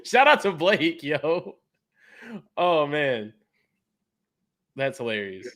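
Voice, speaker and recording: A man's voice: fairly high pitch, very animated, slow, a bit bright. Earnest man with an East Texas accent. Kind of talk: casual sports talk.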